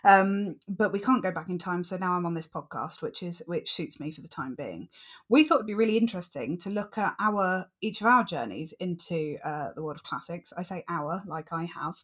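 A woman's voice: fairly low pitch, normal speed. A woman co-hosting a podcast, asking questions in a chatty, self-deprecating, teasing way. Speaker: podcast co-host, interviewer. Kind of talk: casual podcast chat.

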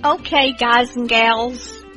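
Brief slow rambling woman host. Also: a little high, fairly even intonation, pushed and bright, faint music behind. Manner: rambling, many fillers. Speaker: podcast host. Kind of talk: casual chat.

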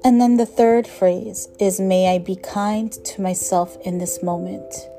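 A woman guiding a meditation with slow, gentle instruction in a soothing, measured manner, letting phrase ends trail softly. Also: medium pitch, steady tone, gravelly, soft background music. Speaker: meditation guide.

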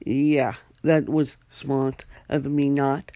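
A young adult speaker hosts a solo podcast, drawling slowly through a loose, rambling update. The talk halts often, full of fillers and restarts. The voice sits close and dry, with no room sound. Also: mid-range voice, level tone, warm tone, no echo. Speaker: podcast host.

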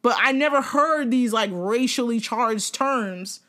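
A young woman co-hosting a podcast in casual chat, animated, laughing often and swearing freely. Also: fairly high pitch, measured pace. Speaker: podcast co-host.

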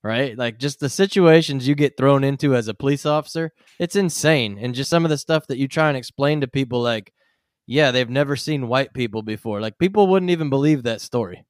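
Male podcast co-host; smooth, clear voice, enthusiastic interjections, dry close mic. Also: medium pitch, a little fast, no room sound. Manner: enthusiastic, chiming in. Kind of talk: casual chat.

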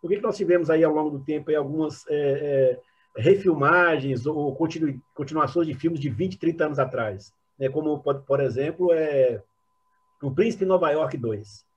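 A dull, lo-fi online call carries a Brazilian man's husky, fairly high voice. He comments as an academic panelist, haltingly, often repeating words while he looks for the next phrase.

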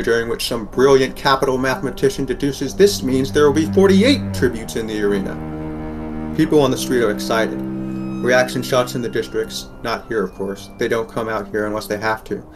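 A man's voice: mid-range voice, lightly acted. A man playing a wry, protective sixteen-year-old boy from a poor district, narrating a scripted audio drama in the first person.

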